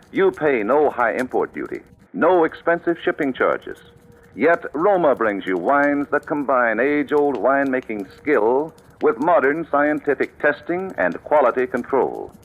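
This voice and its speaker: A male announcer giving a formal, polished scripted commercial in 1940s radio style. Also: medium pitch. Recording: tinny vintage radio broadcast, music behind.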